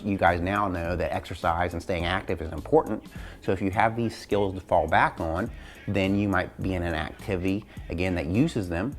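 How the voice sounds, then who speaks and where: Deep voiced guy teaching a gym class video, explains stuff to the viewers, faint music. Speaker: physical education teacher hosting a lesson video. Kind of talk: upbeat instructional video narration to students.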